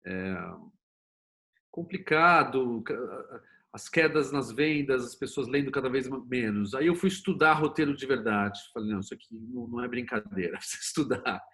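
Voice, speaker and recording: A man's voice: mid-range voice, lively intonation, normal speed, bright, forceful tone. Middle-aged Brazilian man in conversation. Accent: Brazilian Portuguese. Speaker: interview guest, screenwriter and producer. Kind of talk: conversational interview, telling own career story.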